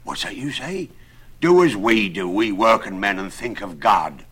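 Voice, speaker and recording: A man's voice: fairly low pitch, lively intonation, a little slow, slightly rough, exaggerated voice. A man voicing a pious, credulous, working-class carpenter who frets and exclaims in a dramatised verse tale. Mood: worried, sententious. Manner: exclamatory, oath-swearing, loud.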